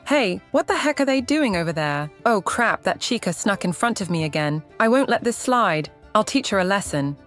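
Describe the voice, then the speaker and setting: A woman voicing an excitable, bullying wolf animatronic in a cartoon comic dub, brash but stammering when caught out. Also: medium pitch, normal speed, hardly any pauses, faint music behind, lightly acted. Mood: angry, threatening. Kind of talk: comic dub character acting.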